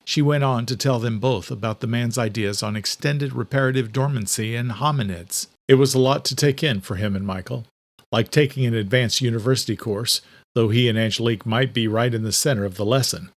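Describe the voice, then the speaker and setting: A man reads as an audiobook narrator. His voice sits at a medium pitch, and he delivers scripted prose as dramatic storytelling. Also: fairly quick.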